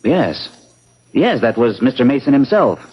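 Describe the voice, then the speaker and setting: A man's voice: medium pitch, sing-song, measured pace, husky. A male actor playing a secretive, defensive young commuter husband in a 1970s radio drama, curt and guarded. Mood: defensive, irritable. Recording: muffled old radio recording.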